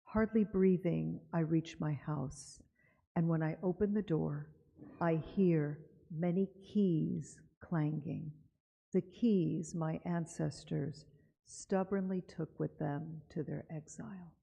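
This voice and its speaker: Low, gravelly woman in a reverberant chapel. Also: steady tone, dark tone. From Cuba. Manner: unhurried, conversational, with frequent fillers. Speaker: author reading and speaking at a synagogue event. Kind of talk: live author talk with poetry reading. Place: synagogue chapel. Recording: dull live broadcast with long reverb.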